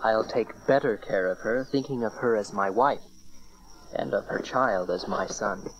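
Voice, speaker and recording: A man playing a gentle, devout carpenter and protective husband in an audio Bible drama, reassuring with a touch of humor. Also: fairly low pitch, moderate pace, highs cut off, close to an everyday voice. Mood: tender, reassuring.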